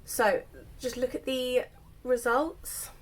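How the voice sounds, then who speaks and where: A woman's voice: high-pitched, steady tone, takes it slowly. A woman chatting casually while she demonstrates styling her wavy hair, her voice just recovered from illness. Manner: chatty, self-deprecating, explains while doing. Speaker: hair vlogger. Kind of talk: casual hair tutorial chat.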